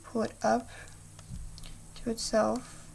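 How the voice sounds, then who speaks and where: A young woman hosting a craft tutorial, soft-spoken and apologetic, talking through each step while losing her voice. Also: a little high, little pitch movement, slow pace, creaky, a little hiss or hum. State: losing her voice, clearing throat.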